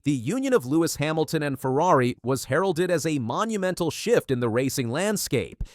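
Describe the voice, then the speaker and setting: A man's voice: on the high side, big pitch swings, fairly quick, dry recording, clean studio sound. A man reading scripted motorsport news narration in a dramatic, polished delivery.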